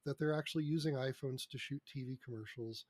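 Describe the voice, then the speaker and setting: A man with a husky voice telling stories casually as an interview guest. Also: medium pitch, fast talker, a little mellow, no echo. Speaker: interview guest, radio drama producer.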